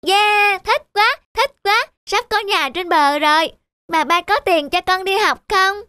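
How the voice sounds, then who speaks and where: A woman voicing an eager, innocent little girl with a childlike, bubbly manner in an animated drama. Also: very high-pitched, unhurried, no room sound, clean studio sound, exaggerated voice. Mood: eager, excited.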